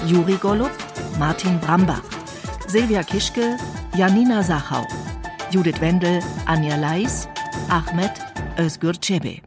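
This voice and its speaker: A woman announcer reading the titles and credits of a radio drama in a measured, scripted way. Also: fairly low pitch.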